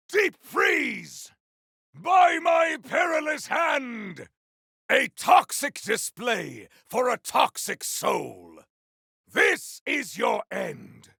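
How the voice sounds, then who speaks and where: Shouting gravelly warrior. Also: male voice, very high-pitched, dry recording, clean studio sound, full character voice. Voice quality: gravelly, rough-edged. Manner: grandiose, theatrical, formal uncontracted speech. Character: bombastic warrior bellowing battle cries with grim relish. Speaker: video game voice actor. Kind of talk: video game combat barks.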